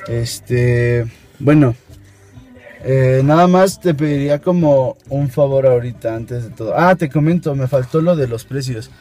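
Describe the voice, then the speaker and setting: Young Mexican man talking haltingly in a car. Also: mid-range voice, mellow, faint music behind. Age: young adult. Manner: halting, hesitant explaining. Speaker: prank caller, video host. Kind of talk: improvised prank phone call and casual chat. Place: inside a small car. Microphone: camera at arm's length.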